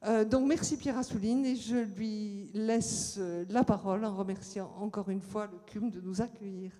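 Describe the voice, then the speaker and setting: A woman's voice: mid-range voice, steady tone, moderate pace, dark tone. A woman giving a formal introduction of a guest speaker, hesitantly searching for words, in a reverberant lecture hall. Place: lecture amphitheatre.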